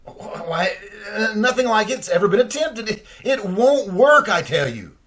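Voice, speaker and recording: A man's voice: sits high, measured pace, full character voice. A man playing an alarmed, sputtering surgeon in an old-time radio drama. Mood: indignant. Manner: excitable, sputtering, incredulous. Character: alarmed, indignant male surgeon cousin under pressure.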